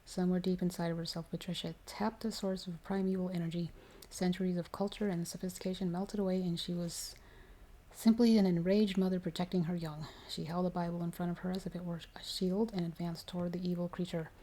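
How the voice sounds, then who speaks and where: A woman reading a horror story aloud in a soft, measured ASMR style, giving the dialogue some dramatic emphasis. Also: lowish voice, little pitch movement.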